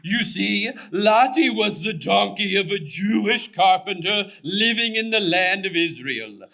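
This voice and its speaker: A man voicing a pompous donkey puppet who tells stories to children in a deliberate, theatrical manner. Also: high-pitched, animated, creaky, bright, forceful tone, heavily acted. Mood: storytelling. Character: grandiose weary old-fashioned gentleman donkey storyteller puppet. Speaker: puppet show storyteller.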